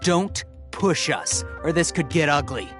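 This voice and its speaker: A man's voice: fairly high pitch, lively intonation, measured pace. A man voicing a zealous, scornful desert mercenary in an anime game, sneering and preachy, with music behind. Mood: angry, threatening. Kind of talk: English anime game voice acting.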